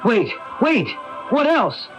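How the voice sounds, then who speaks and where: A man's voice: very high voice, huge pitch swings, slow, soft background music, full character voice. A man playing an evasive, guilt-ridden husband, sardonic and testy, in a radio drama. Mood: agitated, defensive. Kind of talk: 1980s radio drama acting. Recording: dull AM radio broadcast recording.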